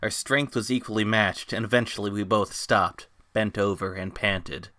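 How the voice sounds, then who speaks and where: A man's voice: on the low side, steady tone, moderate pace, bright, forceful tone, no room sound. A man giving a dramatic scripted narration of a fantasy story. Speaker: narrator, fan fiction reader.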